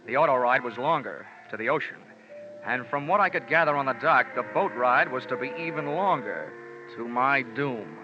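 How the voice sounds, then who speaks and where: A man's voice: medium pitch, normal speed, dull audio, lightly acted. Man acting wry hard-boiled American radio detective. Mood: grim, wry. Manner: wry, clipped, deadpan wisecracking. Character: wry, hard-boiled insurance investigator telling his own case. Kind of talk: 1940s-50s radio detective narration. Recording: band-limited old radio recording with music.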